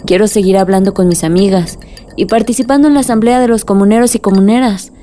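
A woman's voice: mid-range voice, fast, dark tone, a little hiss or hum, lightly acted. An actress playing an independent, affectionate woman in love in a radio soap, with a Mexican accent. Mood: earnest, resolute. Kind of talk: radio soap opera acting.

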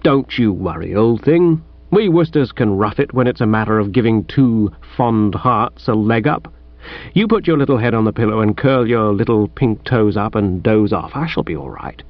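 A man voicing a breezy upper-class young gentleman in a comic audiobook, flippant and affable, with a British accent. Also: on the high side, lively intonation, normal speed, no echo, highs cut off, close to an everyday voice. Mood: kindly.

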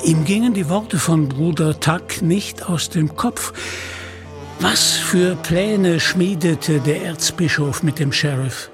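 A man's voice: fairly high pitch, takes it slowly, music in the background. A man narrating a scripted storybook tale as an audiobook reader.

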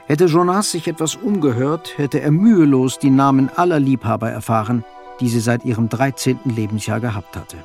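A man's voice: medium pitch, fairly quick, darkish tone, soft background music, close to an everyday voice. A man narrating a radio play as a sober, detached storyteller in scripted literary prose. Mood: sober, measured.

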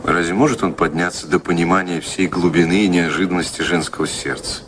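An actor playing a worldly, cynical gentleman in a Russian radio drama, ironic, persuasive and theatrical in manner. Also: low voice, fairly even intonation, a little fast, background noise, lightly acted.

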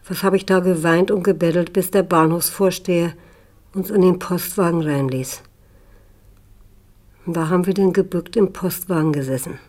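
A woman's voice: lowish voice, fairly even intonation, moderate pace, darkish tone, natural voice. An older woman in a radio drama playing a kindly old aunt who reminisces in a homely, rambling, self-questioning way. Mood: reminiscing.

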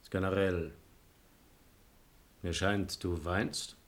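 An actor playing an arrogant, suave aristocratic libertine in a classic radio drama, speaking with cool, haughty composure. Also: male voice, low-pitched, steady tone, slow pace.

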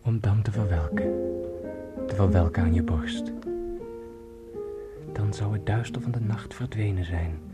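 Radio drama: male actor as aging half-mad guitarist, sly and tender, unhurried poetic murmur, guitar playing prominently. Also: on the low side, lightly acted. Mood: tender, wistful. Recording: loud guitar music behind.

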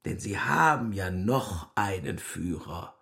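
A man's voice: deep voice, animated, slow, creaky, fairly bright tone, heavily acted. A man in a radio play voicing a scheming, sardonic government propaganda minister. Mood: sardonic, calculating.

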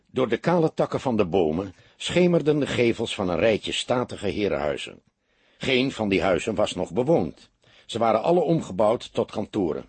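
A male audiobook narrator reads a story expressively. His voice is very husky and moves with animated pitch, recorded dry and close. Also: dry recording.

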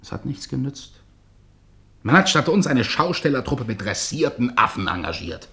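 An actor playing the irritable, theatrical head of a touring troupe in a German radio drama, blustering and declamatory. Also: male voice, mid-range voice, very animated, normal speed, pushed and bright. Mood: worried, businesslike.

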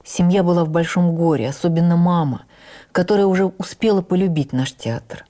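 A woman playing an actress who recalls her life in the theatre, narrating in the first person for radio drama in an expressive, measured, literary way. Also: lowish voice, lightly acted. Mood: earnest, reflective. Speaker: actress reading a stage memoir. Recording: radio broadcast with faint music.

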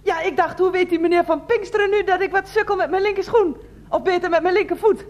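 A woman's voice: very high-pitched, fairly even intonation, fast talker, a little mellow, muffled recording. A woman playing a fussy, rambling committee chairwoman in a radio comedy, officious, chatty and literal-minded. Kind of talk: Dutch radio comedy drama acting.

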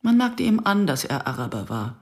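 A woman's voice: sits low, sing-song, moderate pace, faint music behind. An actress playing a wry, self-questioning Israeli woman writer who narrates a radio drama in a dry, reflective, intimate way. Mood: ironic, uneasy. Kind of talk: radio drama acting with first-person narration.

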